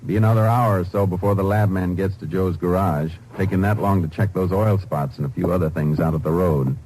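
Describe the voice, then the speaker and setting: Male actor as calm Texas Ranger investigator, low register, clipped businesslike delivery, 1950s radio crime drama. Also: a little fast, a little hiss or hum, lightly acted, poor audio. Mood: businesslike, probing. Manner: terse, businesslike questioning. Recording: old 1950s radio recording with music.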